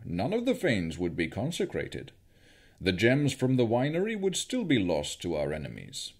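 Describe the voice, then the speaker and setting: Man voicing solemn rallying mentor, lively earnest delivery. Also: fairly low pitch, heavily acted. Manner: earnest and exhortative.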